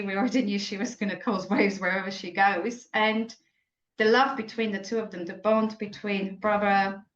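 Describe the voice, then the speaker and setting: Woman in her forties, halting and reflective, roomy echoey muffled audio. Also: medium pitch, fairly bright tone. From Slovakia. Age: 40s. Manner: reflective, halting, frequent self-corrections. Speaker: guest. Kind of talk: personal story in an interview.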